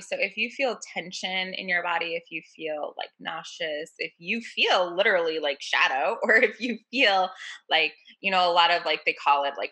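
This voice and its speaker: A woman guest, a coach, talking casually and playfully, swearing now and then and acting out little voices. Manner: animated, playful, swears casually, acts out voices in high tones. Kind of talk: casual interview chat.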